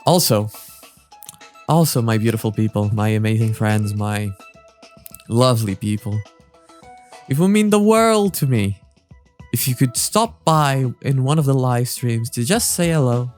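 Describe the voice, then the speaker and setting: A man's voice: mid-range voice, lively intonation, a little slow, pauses often, darkish tone, soft background music. Effusive man hosting a reaction video. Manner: effusive, flowery, self-deprecating. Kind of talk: casual, rambling intro and reaction commentary.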